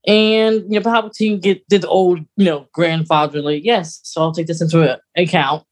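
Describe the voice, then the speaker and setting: A woman's voice: fairly low pitch, fairly even intonation, normal speed. A woman speaks as a podcast co-host in an informal fan discussion. She explains things conversationally, qualifying herself and tucking in extra details as she goes. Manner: conversational, hedging, adds asides and details.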